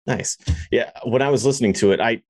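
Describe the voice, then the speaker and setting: Male podcast host, husky, dry close sound, chatty and keen, interviewing casually. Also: medium pitch, a little fast, no echo. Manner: enthusiastic, chatty.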